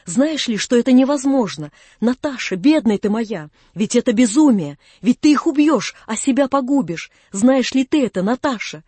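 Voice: medium pitch, lively intonation, normal speed, mellow, husky, no room sound. A narrator voicing a devoted young man in an audiobook, distraught, agitated and pleading insistently. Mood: frantic disbelief.

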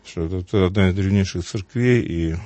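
A man's voice: deep voice, normal speed, mellow. A man from Uglich, an Orthodox priest, telling earnest, rambling anecdotes in conversation. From Uglich, Russia. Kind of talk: conversational storytelling.

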